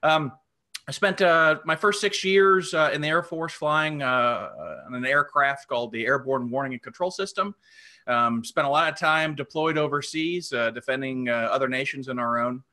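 Halting man in his forties, bright voice. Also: mid-range voice. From New York state. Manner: halting with many fillers. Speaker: program director. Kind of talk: panel self-introduction. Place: home room. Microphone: webcam on video call.

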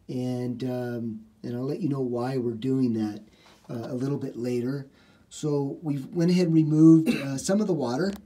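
A man's voice: medium pitch, mellow. A middle-aged man working as a home repair contractor, walking through a basement job step by step in plain talk with lots of "uh" fillers. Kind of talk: walkthrough how-to explanation. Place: basement, small indoor space.

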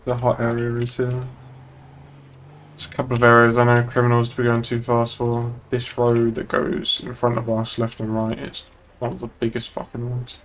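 A man's voice: mid-range voice, completely flat delivery, moderate pace, a little hiss or hum, poor audio. A young man chatting casually and bluntly while streaming a game, with plenty of swearing. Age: young adult. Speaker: streamer playing a police officer in online roleplay.

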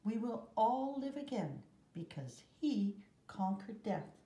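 A woman reading a children's Bible picture book aloud with measured, deliberate phrasing and pauses, recorded indoors. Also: on the high side, big pitch swings, mellow, roomy sound. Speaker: storybook reader.

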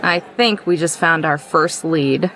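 A woman's voice: low voice, measured pace, pushed and bright, full character voice. A woman playing a blunt, practical young woman with a dry, guarded manner in a visual novel. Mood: wary.

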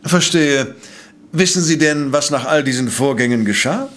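A man's voice: on the high side, moderate pace, lightly acted. A man playing a courteous, formal, authoritative professor detective in a German audio drama. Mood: calm, probing. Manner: formal, precise, calm.

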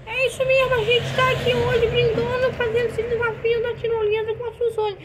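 A girl voicing a chatty boy doll who has a bad cold, talking in a squeaky high voice over a noisy home recording. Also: flat delivery, a little fast.

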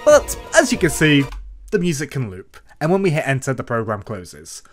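A man presenting a software tutorial, explaining things step by step in an instructional narration. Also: mid-range voice, wildly swooping pitch, normal speed, faint music behind. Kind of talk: scripted-sounding screen-recorded programming tutorial narration. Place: voice-over for a screen recording.

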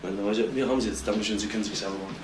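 A brisk, wry police assistant in a crime radio play , acted by a man. He chatters officiously at a quick pace, sounding roomy and away from the microphone. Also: lowish voice, steady tone, close to an everyday voice. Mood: brisk, explaining. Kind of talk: German crime radio drama acting. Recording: roomy, distant, slight noise.

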